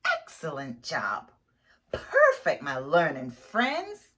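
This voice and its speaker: An encouraging storybook schoolteacher, put on by a woman reading aloud, praises young pupils and gives them simple instructions. She draws her words out slowly, with big lifts and falls in pitch. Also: wildly swooping pitch, a bit bright, slightly rough, full character voice. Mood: delighted praise.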